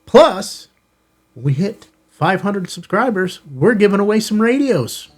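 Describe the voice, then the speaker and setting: A man's voice: high-pitched, big pitch swings, a little slow. An American man hosting a ham radio channel, chatting informally and casually to viewers as he shows off gear. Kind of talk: casual product unboxing chat to viewers. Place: indoor studio in an office building.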